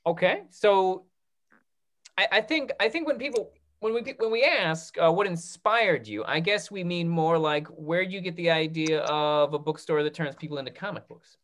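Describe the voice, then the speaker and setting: A man's voice: on the high side, fairly bright tone. A man in his thirties hosting a live question session on a video call, reading out comments and restarting his phrases as he goes. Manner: halting, restarts and repeats phrases. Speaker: host of an online theatre talk back. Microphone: computer mic on video call.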